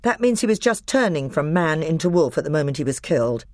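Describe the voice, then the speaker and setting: A reader voicing a confident teenage boy who is high king, speaking with assurance. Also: sing-song, fairly quick, a little mellow, no echo, studio quality, lightly acted. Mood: assured.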